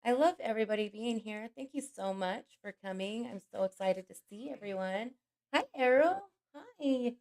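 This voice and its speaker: A woman hosting a livestream with a scratchy, hoarse voice from a cold, chatting casually and greeting each viewer by name. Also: fairly high pitch, animated, normal speed, a little mellow. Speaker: livestream host.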